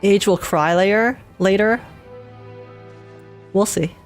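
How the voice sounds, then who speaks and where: A young woman livestreamer giving casual, expressive, gushing reactions to a game as she plays. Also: mid-range voice, slow. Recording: game music behind the voice. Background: game music.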